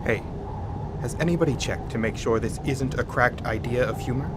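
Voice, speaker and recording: Casting: male actor as gruff expedition man, medium pitch, blunt and vehement with a sardonic edge, loud ambient rumble behind. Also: moderate pace, lightly acted. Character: gruff, alarmed male polar researcher, blunt and cursing. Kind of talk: audio drama acting.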